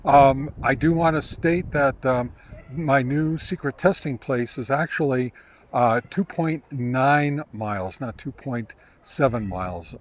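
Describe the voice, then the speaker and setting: An American man giving a casual field-test review outdoors, speaking in a halting way as he thinks aloud. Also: mid-range voice. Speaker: amateur radio reviewer. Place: outdoors in a park.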